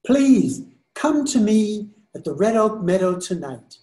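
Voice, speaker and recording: An older man reading the part of the devil disguised as a friar, slow and coaxing, in a video call reading. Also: high-pitched, big pitch swings, dark tone. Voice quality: slow, drawn-out, coaxing delivery. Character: devil posing as a sweet-talking friar, male. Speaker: reader in readers theater. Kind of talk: amateur readers theater over video call. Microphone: video call webcam.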